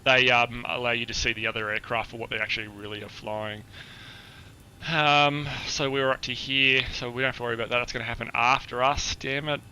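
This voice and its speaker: A hoarse, husky-voiced man giving a chatty, explanatory flight briefing on a live stream, with many filler words. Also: medium pitch, fairly even intonation, brisk, pushed and bright, a little hiss or hum, rough recording.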